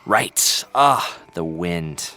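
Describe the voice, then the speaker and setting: Wry, flirtatious male vampire for audio drama, very low and lively in pitch. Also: drawn-out words, soft background music, full character voice. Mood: soft flirtatious. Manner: wry, bantering, theatrical. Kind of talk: scripted drama acting.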